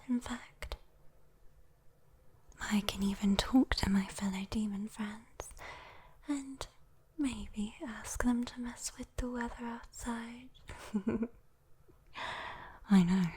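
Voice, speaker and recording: A British woman playing a sweet, teasing, possessive female demon in a breathy, intimate ASMR roleplay whisper. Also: on the high side, slow pace, darkish tone, heavily acted. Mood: soothing, playful.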